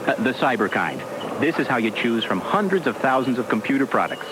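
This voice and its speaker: A man's voice: medium pitch, lively intonation, brisk, background noise, lightly acted. An actor playing a chatty male elevator operator guiding shoppers in a comic radio commercial. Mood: chirpy salesman. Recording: AM radio ad with music.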